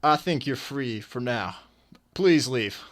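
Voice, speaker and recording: An actor playing a weary, businesslike detective in a radio drama, firm and matter-of-fact. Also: male voice, mid-range voice, animated, slow, fairly bright tone. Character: weary, businesslike male detective questioning suspects.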